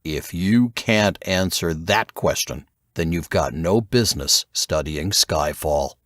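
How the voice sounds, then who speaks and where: A man voicing a stern, probing old intelligence veteran in an audiobook, terse, commanding and deliberate. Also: sits low, a bit bright, dry recording, studio quality. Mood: stern, challenging. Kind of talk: audiobook character dialogue.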